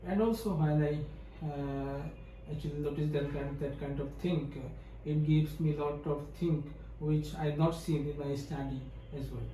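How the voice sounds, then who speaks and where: A young man from Bangladesh with a Bangladeshi English accent, answering as a test candidate in hesitant, halting English full of fillers. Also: a little high, fairly even intonation, warm tone, slight background noise. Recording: very echoey, from far off, faint ambience.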